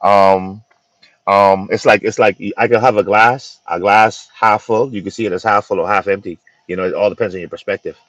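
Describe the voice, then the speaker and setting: Halting low-voiced Bahamian-born man. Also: fairly even intonation, fairly bright tone, dry recording, rough recording. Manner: halting, repeats words, many fillers. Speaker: co-host. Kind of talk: casual podcast chat.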